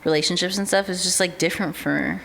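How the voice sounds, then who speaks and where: Low-voiced young woman, chatty with fillers. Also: quick pace, vocal fry, low-quality recording. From United States. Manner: relaxed, chatty, with many fillers like "um" and "like". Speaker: podcast guest, artist manager. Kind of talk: casual interview chat.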